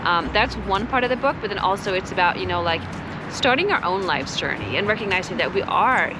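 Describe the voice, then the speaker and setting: A woman from Sweden talking casually and reflectively as an interview guest, expressive and earnest in a conversational way. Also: mid-range voice, a little fast, music in the background. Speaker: author and climate educator, interview guest.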